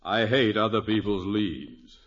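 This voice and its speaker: An actor playing a haughty, vain middle-aged neighbor with deliberate phrasing, in 1950s radio comedy style. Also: male voice, lowish voice, fairly bright tone. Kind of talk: 1950s radio sitcom acting. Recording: dull old 1950s radio recording.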